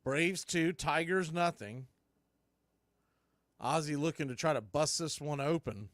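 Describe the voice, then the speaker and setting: A man's voice: a little high, unhurried, a bit bright, no echo. A man doing live baseball play-by-play as a stream host, mixing clipped calls with folksy, joking asides and casual chat.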